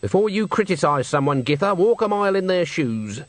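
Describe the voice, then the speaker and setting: A man reading aloud and voicing a stern old woman witch who speaks calmly and dryly. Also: high-pitched, fairly quick, dry recording, pitched above the performer's own voice. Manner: calm, dry, knowing.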